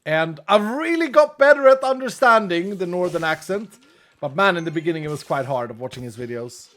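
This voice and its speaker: A man's voice: high voice, animated, fairly quick, a bit bright. A man from Sweden with a Swedish accent, Swedish being his first language, chatting casually with viewers while gaming on a stream, joking about himself and trailing off mid-thought. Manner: chatty, self-deprecating, laughs often, trails off mid-sentence.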